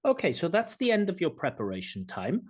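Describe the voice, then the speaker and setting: A man working as a speaking examiner, asking scripted questions in a measured, formal way over a video call. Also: fairly high pitch, wildly swooping pitch, slightly rough.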